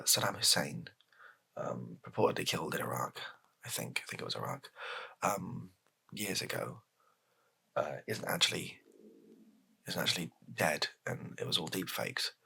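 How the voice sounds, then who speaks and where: A British man improvising a softly spoken comedy roleplay as a hesitant, deadpan, rambling political advisor to the prime minister. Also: sits low, vocal fry, husky, heavily acted.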